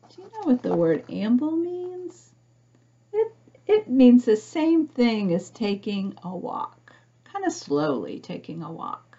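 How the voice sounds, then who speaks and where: A woman reads a picture book aloud to young children, pausing to explain things simply and ask the listener questions. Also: fairly high pitch, big pitch swings, mellow, husky, highs cut off. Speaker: storybook reader for young children.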